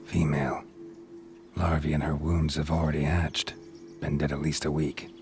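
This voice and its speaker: Gruff stoic monster hunter, bottomless low monotone, clipped deadpan game lines. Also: male voice, moderate pace, music in the background. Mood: brooding, observant. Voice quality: gravelly, low. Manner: terse, deadpan, clipped sentences. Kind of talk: video game voice acting.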